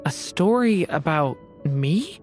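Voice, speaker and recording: A woman voicing a gentle, wistful young dragonborn boy in a video game, soft-spoken and hesitant. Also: sits low, expressive, slow, over music. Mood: thoughtful.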